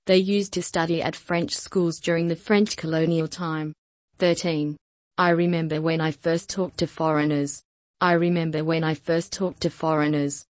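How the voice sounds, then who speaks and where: A woman reading short sentences aloud for a repeat-after-me language lesson, slowly and evenly, each one said several times. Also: deep voice, dry recording. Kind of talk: scripted repeat-after-me drill.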